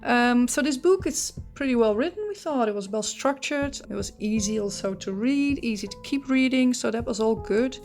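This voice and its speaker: Dutch-accented woman with a gravelly voice. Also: a little high, animated, normal speed, few pauses, darkish tone, soft background music. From Netherlands. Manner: chatty, thinking aloud with frequent ums and restarts. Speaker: true crime channel host. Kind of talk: book review narration.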